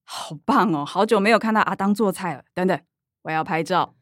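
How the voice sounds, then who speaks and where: A woman's voice: fairly low pitch, a little fast, a bit bright, dry recording, clean studio sound, close to an everyday voice. An actress playing a middle-aged mother in a radio drama, chatty and complaining, with a Taiwanese accent. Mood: coaxing, cheerful. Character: middle-aged mother, coaxing with daughters, exasperated with grandma.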